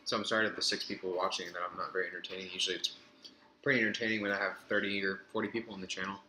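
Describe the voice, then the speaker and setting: A young man in his twenties with a lowish voice talks to his viewers in a rambling, chatty way, hopping from topic to topic. He sounds a little distant in a roomy, echoey space with steady background noise behind him. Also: racing. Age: 20s. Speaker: live streamer. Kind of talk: casual live-stream chat.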